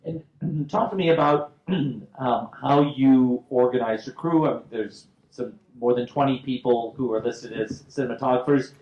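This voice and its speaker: A man's voice: medium pitch, a little mellow, lo-fi sound. Man moderating a Q&A in a roomy hall. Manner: measured questions with fillers and throat-clearing. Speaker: host, moderator. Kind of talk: post-screening Q&A moderation. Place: theater stage after a screening. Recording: roomy theater sound.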